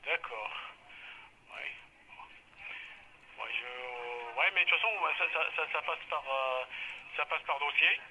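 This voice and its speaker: A man working as a customer service agent on a phone call, halting and repetitive as he explains procedure. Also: mid-range voice. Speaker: parcel company phone agent. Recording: slightly noisy telephone line.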